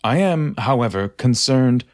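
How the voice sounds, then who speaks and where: A man's voice: medium pitch, animated, measured pace, no room sound, studio quality, lightly acted. Man voicing a smug sneaky little creature. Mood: scornful. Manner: smug, disdainful first-person narration. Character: small sneaky creature, proud, wary, scornful. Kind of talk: audio drama monologue. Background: loud music.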